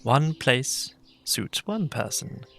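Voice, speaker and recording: A man's voice: mid-range voice, expressive, takes it slowly, vocal fry, slight background noise. A man narrating a children's tale as a scripted read-aloud. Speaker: storybook narrator. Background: soft music.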